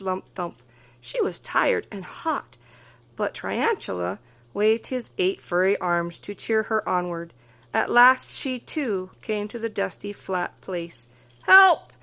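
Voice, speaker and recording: A woman reading a children's story aloud as narrator, expressive and carefully phrased. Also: mid-range voice, slow, vocal fry. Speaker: storybook reader.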